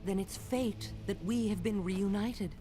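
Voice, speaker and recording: A woman playing a grave, protective warrior mother in a video game, speaking in a measured, earnest way. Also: warm tone, faint music behind, close to an everyday voice. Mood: tender, grave. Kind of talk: dramatic video game voice acting. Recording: game audio under noisy ambience and rumble.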